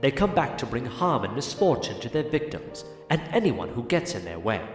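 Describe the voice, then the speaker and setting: A man's voice: medium pitch, very animated, moderate pace, soft background music, close to an everyday voice. Man playing a theatrical, wildly swooping ghostly narrator. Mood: wry, grave. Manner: theatrical, wry, mocking. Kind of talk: audio drama narration and character acting.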